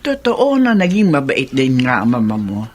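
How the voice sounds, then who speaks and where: A woman playing a caring, inquisitive older Filipino grandmother in a radio drama, speaking in a gentle, probing way. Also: rumbling low, huge pitch swings, normal speed, exaggerated voice. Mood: concerned, musing. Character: caring, inquisitive grandmother and mother of a grown son.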